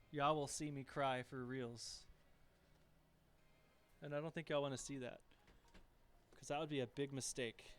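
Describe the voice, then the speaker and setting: A soft-spoken man chatting casually with viewers as he cooks and cleans up in his home kitchen, reading chat messages aloud. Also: fairly high pitch. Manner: relaxed, chatty, talks to viewers while working. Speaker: streaming home cook.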